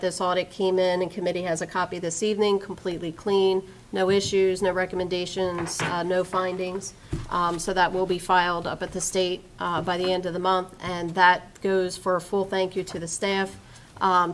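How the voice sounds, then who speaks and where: Lower-pitched woman speaking at a public meeting. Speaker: township official at a public meeting. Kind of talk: formal meeting remarks and procedural reading. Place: public meeting room, at a microphone. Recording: clear background noise and clicks.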